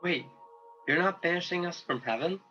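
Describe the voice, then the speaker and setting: A man's voice: high voice, moderate pace, sharp tone, dull audio, soft background music. A man playing an anxious, humble, loyal guardian angel in a radio play, hesitant and earnest in delivery. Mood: worried, obedient.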